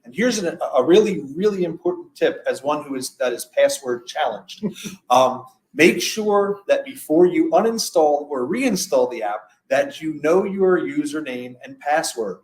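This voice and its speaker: A man's voice: a little high, animated, a little slow, rough recording. A man presenting product guidance in a conversational, instructional style as an expert in a webinar.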